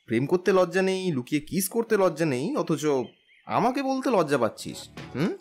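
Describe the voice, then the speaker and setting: A man's voice: high-pitched, normal speed, soft background music. A man playing a smug young man in an audio story, teasing his family with a cocky manner. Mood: mocking. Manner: teasing, lively. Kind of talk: Bengali audio story acting.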